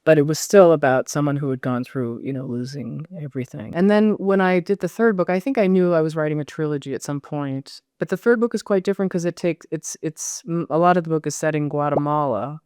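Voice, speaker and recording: A woman's voice: deep voice, brisk, hardly any pauses, darkish tone, clean tone, no room sound, studio quality. A middle-aged American woman talking as an interview guest in a thoughtful, self-questioning, digressive way. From United States. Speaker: novelist, podcast guest. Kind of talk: reflective conversational interview.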